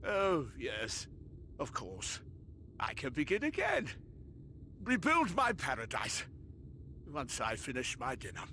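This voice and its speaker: A man's voice: medium pitch, huge pitch swings, slow pace, pauses often, pushed and bright, a little hiss or hum, heavily acted. An actor playing a deranged, pompous, gluttonous monster king in a video game, with a grandiose, raving delivery. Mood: manic relief. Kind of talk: theatrical video game villain acting.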